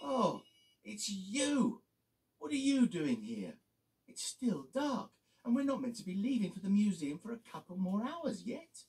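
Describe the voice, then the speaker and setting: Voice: mid-range voice, big pitch swings, measured pace, darkish tone, distant mic, voice pushed up. A storyteller voicing a cheerful male lorry who loves a wash, singsong and exclamatory. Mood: startled.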